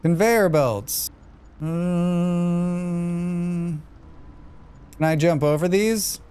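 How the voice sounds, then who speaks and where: A man's voice: high voice, very slow, warm tone, smooth. Scratchy-voiced man thinking aloud. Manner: thinking aloud, muttering while playing. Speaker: streamer playing a demo. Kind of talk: live gameplay commentary.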